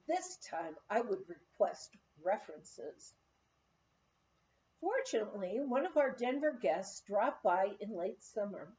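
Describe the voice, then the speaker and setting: High, lively woman reading a memoir. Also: highs cut off, slight background noise. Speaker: audiobook reader. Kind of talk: scripted memoir narration.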